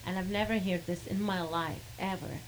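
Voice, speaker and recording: A woman with an Arabic accent who speaks haltingly, with many fillers, and laughs between sentences as she tells a personal story. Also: on the low side, fairly even intonation, slight background noise, low-quality recording. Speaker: guest sharing a testimony.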